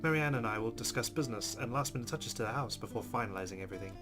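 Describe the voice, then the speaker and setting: A man hosting a let's play, reading the game's narration aloud expressively with quieter casual asides. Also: medium pitch, rapid-fire. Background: guitar game music.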